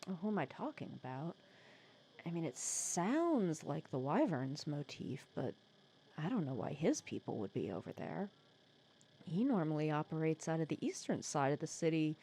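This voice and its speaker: A woman acts out a sly female supervillain with a soft spot, in an intimate ASMR audio roleplay. Her voice is lowish and very breathy, with lively swings in pitch. She talks in a casual, teasing, persuasive way, sardonic but caring underneath. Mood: puzzled, guarded.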